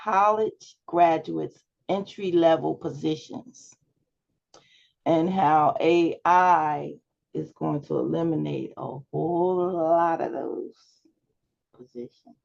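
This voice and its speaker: Slow, gravelly-voiced woman teacher on a video call. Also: deep voice, a little mellow. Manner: unhurried, encouraging, with frequent fillers. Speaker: class instructor. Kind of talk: leading an online class discussion. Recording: dull lo-fi video call audio.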